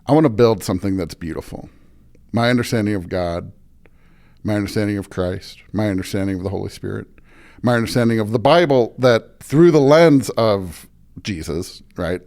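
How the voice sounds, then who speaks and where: A man's voice: lowish voice, a little mellow. A man speaking as a pastor in a thoughtful interview, earnest and reflective, often repeating phrases for emphasis. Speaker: pastor and podcast guest.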